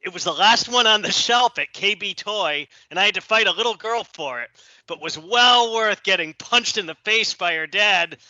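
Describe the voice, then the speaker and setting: A man playing an excitable, oddball video store fanboy in a comedic audio play. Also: high-pitched, normal speed, no echo, dull audio, exaggerated voice. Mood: goofy, earnest.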